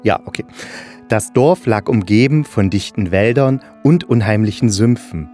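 A man's voice: fairly low pitch, normal speed, mellow. Casting: male storyteller for kids' audio play, patient and explanatory, faint music behind. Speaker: narrator. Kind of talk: children's fairy-tale storytelling.

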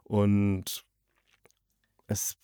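Guy podcast host w lowish voice, chatting loosely about a review, really dry recording. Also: completely flat delivery, extremely slow, mellow, clear, resonant tone, dry recording. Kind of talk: casual review chat.

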